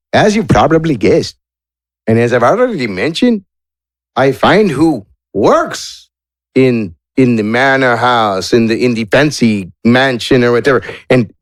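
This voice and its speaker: Man playing a halting captured villain, swinging pitch. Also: no echo, studio quality. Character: captured villain bargaining and confessing to slime scheme.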